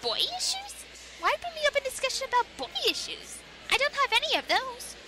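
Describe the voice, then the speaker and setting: Very high-pitched perky teenage girl. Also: big pitch swings, a little fast, music in the background, rough recording. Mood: curious. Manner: cutesy, bubbly wording and delivery. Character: perky, giggly teenage girl, gushing and mischievous. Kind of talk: audio drama acting.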